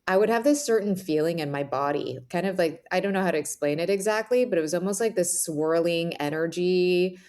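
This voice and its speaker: A woman's voice: fairly low pitch, fairly quick, few pauses, a little mellow. A woman speaking as an author guest in a reflective, conversational interview, often dropping in "like" and "you know".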